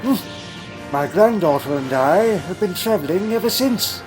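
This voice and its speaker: A haunted old alien wanderer, played by a man in an audio drama, with a notably high voice for a male. He recounts his past in a grave, deliberate manner, humming as he ponders, with music playing underneath. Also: animated, low-quality recording. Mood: reflective. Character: elderly male alien time traveller, haunted and secretive. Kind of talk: fan audio drama acting.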